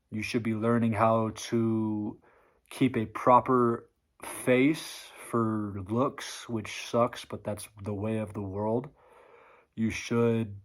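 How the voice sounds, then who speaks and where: A man preaching hard work in a blunt, profane rant to the camera. His voice sounds sore and strained from talking. He is neither high nor low in pitch. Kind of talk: motivational rant vlog.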